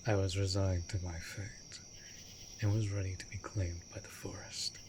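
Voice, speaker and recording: A man playing an immortal vampire master, a tender and brooding lonely lover, speaking formally and often breathily in a romantic fantasy audio roleplay. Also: low-pitched. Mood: grave, confessing. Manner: formal archaic wording, slow and deliberate, often dropping to breathy whisper. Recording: steady background noise of night crickets. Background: night insects and crickets.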